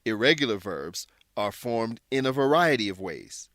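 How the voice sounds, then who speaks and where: Male lesson narrator: mid-pitched, deliberate, instructional scripted read, close dry sound. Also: very animated, fairly bright tone, no echo. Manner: deliberate, instructional, clearly worded. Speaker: narrator and English teacher.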